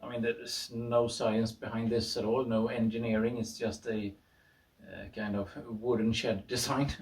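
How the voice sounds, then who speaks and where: A man's voice: lowish voice, flat delivery, unhurried. Man explaining casually, distant from the mic. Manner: hesitant, thinking aloud. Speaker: garage tinkerer presenting own experiment. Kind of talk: informal explanatory video talk. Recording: voice far from the mic.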